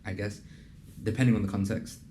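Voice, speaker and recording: A young man hosting a casual interview and pitching his program in an earnest, conversational way, with plenty of fillers. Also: fairly even intonation, fairly quick, warm tone, slight background noise. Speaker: host and program founder. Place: in a building in a city business district.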